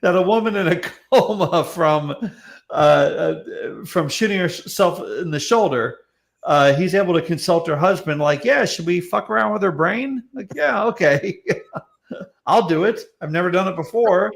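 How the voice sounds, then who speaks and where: A man with a fairly high, creaky voice talks as a panel guest. His delivery is casual and comic, halting and full of repeated words and false starts. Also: animated. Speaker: guest on a talk show. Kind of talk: casual comedic panel chat.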